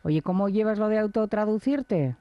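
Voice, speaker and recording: A man interviewing an author, informal, with lively, surprised reactions. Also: high voice, normal speed, no echo, muffled recording. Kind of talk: interview conversation.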